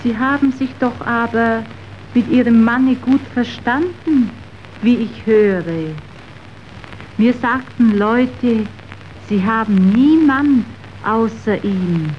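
Solemn, slow-speaking righteous young woman. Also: fairly high pitch. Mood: gentle pleading. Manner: solemn, deliberate, declamatory. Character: compassionate, righteous young woman pleading for the poor. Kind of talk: declamatory radio drama in verse. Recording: dull, noisy old radio recording.

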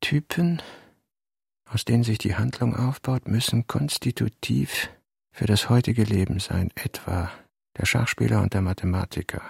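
An actor playing a brooding novelist narrator in a literary radio play, reciting narration and fragmentary notes in a measured, deliberate way. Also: male voice, lowish voice, vocal fry, husky, no echo, studio quality, heavily acted.

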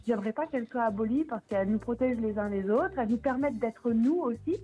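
A woman on a radio debate show, sharing a thoughtful view at length and pausing often to find her words. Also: a little high, animated, dark tone, breathy edge, faint music behind, low-quality recording. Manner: reflective, thinking aloud with hesitations. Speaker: debate participant.

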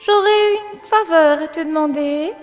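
A young woman playing a bold, curious journalist and singing student of 1888 Paris in a French audio drama, expressive and literate in her speech. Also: extremely high pitch, a little slow, soft background music. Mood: coaxing, pleading. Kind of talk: French audio drama acting with first-person narration.

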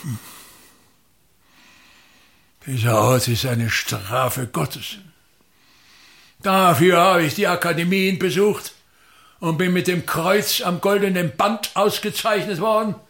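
An actor playing a pompous aging state actor in a radio play, declaiming and grumbling bitterly, swinging between mutters and outbursts. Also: male voice, sits high, slow pace, creaky, pauses often, a bit bright, heavily acted. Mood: disgusted, gloomy. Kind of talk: theatrical radio-play monologue.

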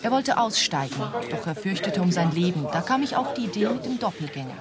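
A woman's voice: mid-range voice, very animated, fairly quick, natural voice. An actress playing a cool, businesslike young woman on the run in a radio play. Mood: matter-of-fact. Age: young adult. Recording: busy bar crowd behind the voice.

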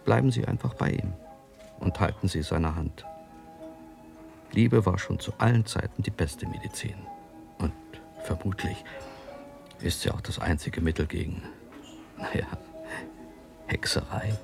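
An actor playing a grave, compassionate doctor in a German radio drama, speaking in a measured, explanatory way with thoughtful pauses. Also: male voice, sits low, vocal fry, heavily acted. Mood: gentle advising. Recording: music under the voice.

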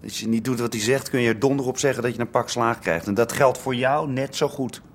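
An actor playing an excitable young squatter, son of a gangster, in a radio play. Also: male voice, medium pitch, fairly quick, slight background noise, close to an everyday voice.

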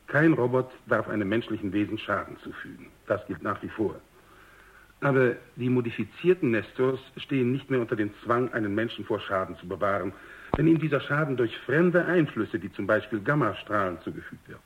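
An actor playing a self-justifying military general in a German radio drama, formal and explanatory with some bluster. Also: male voice, on the low side, animated, brisk, lightly acted. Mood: courteous insistence. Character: self-justifying, brusque military general, courteous to superiors' experts. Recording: slightly dull radio recording.

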